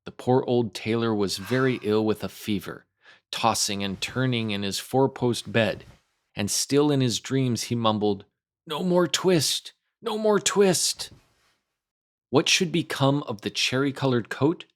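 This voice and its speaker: Man narrating a children's story, mid-pitched. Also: moderate pace. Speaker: storytime host and reader. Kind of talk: scripted children's story narration, read aloud.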